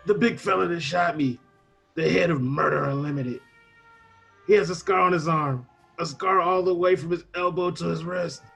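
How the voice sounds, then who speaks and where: Someone playing a shady lowlife informant guy, raspy, tough slangy talk, old radio comedy w music under. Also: normal speed, fairly bright tone. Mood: dying, strained. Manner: slangy, tough-talking, grumbling. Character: streetwise, wary small-time male informant named Johnny. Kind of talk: comic old-time radio play acting.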